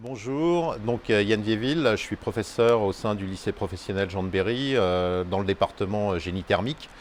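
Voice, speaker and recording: Hesitant low-voiced male teacher. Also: normal speed, soft background music. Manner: hesitant, many fillers. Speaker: vocational school teacher. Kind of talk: school video interview.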